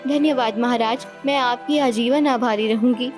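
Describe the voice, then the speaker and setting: A woman plays a young refugee princess in a cartoon tale. She is formal, fearful and pleading, with a slightly high voice over clear music. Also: normal speed, lightly acted. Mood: grateful.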